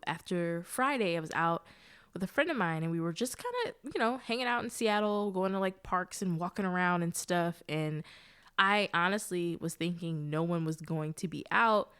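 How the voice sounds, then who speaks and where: A woman's voice: medium pitch, clean tone. A woman hosting a solo podcast, talking to listeners like an old friend. Her voice sounds nasal and stuffed up from blocked sinuses. The delivery is chatty and easygoing, with friendly asides and easy laughs. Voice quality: nasal from allergies. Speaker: podcast host. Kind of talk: casual solo podcast chat.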